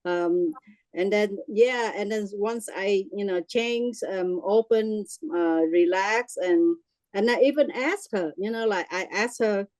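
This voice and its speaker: A middle-aged woman from Vietnam with a Vietnamese accent, sharing her experience in a halting way with many fillers and restarts. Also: medium pitch, a little mellow, dry recording, poor audio. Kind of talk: casual personal sharing.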